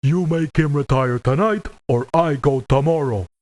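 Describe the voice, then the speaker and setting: A man's voice: on the high side, moderate pace, a little mellow, natural voice. A man voicing a grumpy, combative video game mercenary who talks in terse, colloquial, confrontational lines. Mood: gruff, defiant. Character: grumpy, combative male mercenary, stubborn and folksy. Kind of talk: video game character voice acting.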